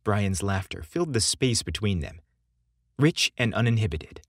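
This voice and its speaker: A man's voice: fairly low pitch, sing-song, normal speed, no room sound, studio quality. A man narrating scripted romantic fiction for a story podcast.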